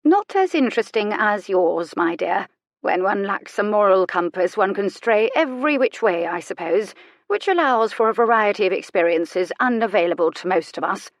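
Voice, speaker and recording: A woman acts out a bedridden old noblewoman from a storybook. She is haughty and sharp-tongued, teasing with dry, theatrical relish. The sound is close and dry, with a raised, emphatic delivery. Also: mid-range voice, lively intonation, moderate pace, no echo, close to an everyday voice. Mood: imperious, wry. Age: older. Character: elderly bedridden aristocratic lady, sharp-tongued and mischievous.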